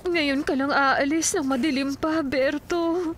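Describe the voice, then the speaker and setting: A woman's voice: high voice, steady tone, unhurried, a little hiss or hum. A woman with a Filipino accent playing a devoted, worried and jealous policeman's wife in an audio drama, plaintive and emotional. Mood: clingy, affectionate.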